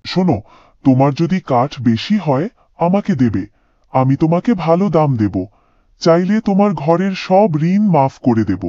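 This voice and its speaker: A man's voice: a little high, sing-song, normal speed, warm tone, no echo, highs cut off. A man playing a greedy, scheming village moneylender in a cartoon fairy tale, calculating and self-important. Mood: falsely friendly.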